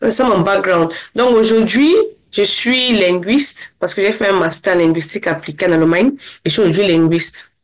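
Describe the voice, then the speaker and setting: A young woman from Cameroon, a guest in an interview, speaking casually and fast, with many restarts. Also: medium pitch. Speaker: interview guest, former scholarship holder.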